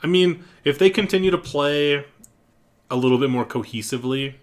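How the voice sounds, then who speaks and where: A man's voice: on the high side, normal speed. A man co-hosting a casual esports podcast, giving blunt, plain-spoken opinions. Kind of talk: casual esports analysis chat.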